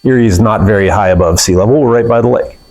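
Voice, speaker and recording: A man's voice: lowish voice, quick pace, a little mellow. Casual male physics lecturer. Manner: casual, explanatory, with fillers and self-corrections. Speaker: physics instructor. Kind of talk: classroom lecture. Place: classroom.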